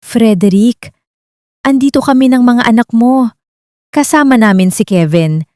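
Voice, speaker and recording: A woman's voice: a little high, a little slow, over music, voice pushed up, close to an everyday voice. A woman voicing a tender, sincere widowed mother in a romance story drama. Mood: sad, tender.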